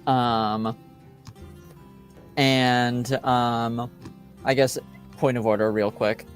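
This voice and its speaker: A man narrates a tabletop roleplaying game as game master. He speaks slowly and haltingly, feeling for the next words. His pitch is middling, and music plays behind him. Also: level tone.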